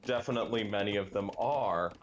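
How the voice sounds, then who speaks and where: A young adult man chatting casually while playing a game, musing aloud and often pausing with fillers and restarts. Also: fairly low pitch, slight background noise. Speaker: language gaming guest. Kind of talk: casual chat while gaming.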